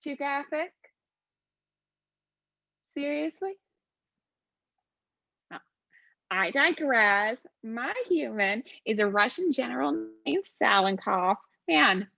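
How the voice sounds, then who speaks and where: A snarky, smug demon played by a woman rambles through a comic stage monologue. The voice is fairly high and casually sardonic. The recording is dry and somewhat dull and lo-fi. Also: very animated, slow, creaky, pauses often, sharp tone, no echo, exaggerated voice.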